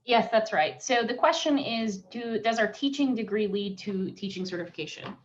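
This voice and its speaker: A woman's voice: mid-range voice, moderate pace. Woman moderating a webinar over lo-fi audio. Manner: upbeat, reads out audience questions. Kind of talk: moderating a live Q&A. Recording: dull, lo-fi web call audio.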